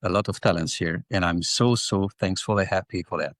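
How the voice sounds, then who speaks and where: Deep-voiced man, halting non-native English. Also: no echo, clean studio sound. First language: not English. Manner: halting, repeats words while searching for English. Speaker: actor and interview guest. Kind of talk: casual interview answers.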